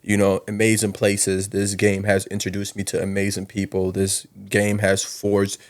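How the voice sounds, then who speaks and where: A man's voice: fairly low pitch, completely flat delivery, moderate pace. A man from South Sudan talking casually and informally as a podcast guest, with slang and frequent "you know". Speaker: guest, college basketball coach.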